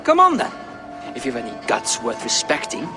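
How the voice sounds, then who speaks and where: An actor playing a defiant, cocky seventeen-year-old Viking prince in a video game, brash and sarcastic. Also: male voice, medium pitch, wildly swooping pitch, moderate pace, music in the background. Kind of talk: video game cutscene acting.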